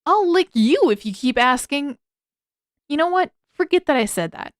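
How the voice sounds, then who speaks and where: A woman's voice: high-pitched, expressive, moderate pace, no echo, studio quality, pitched above the performer's own voice. A woman voicing a sarcastic, irritable teenage boy in an exasperated, teasing manner for a story reading. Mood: irritated.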